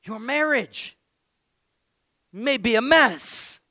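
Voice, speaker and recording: A pastor preaching an energetic sermon. He jokes playfully, then shouts his exhortations. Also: very high voice, very slow, dry recording, clean studio sound.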